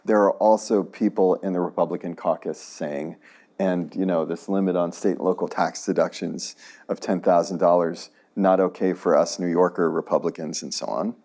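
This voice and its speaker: A man on a university panel, explaining politics in a deliberate, measured way and hedging his predictions. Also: sits low, fairly even intonation, a little mellow. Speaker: law professor, panelist. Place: lecture hall with audience.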